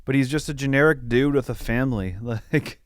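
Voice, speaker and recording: A man with a medium-pitched, clean and resonant voice talks as a podcast co-host, recorded close and dry. He gives blunt film criticism in choppy, restarted phrases, casually and with some swearing. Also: fairly quick, no echo. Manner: blunt, profane, argues in repeated broken starts. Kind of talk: casual film review chat.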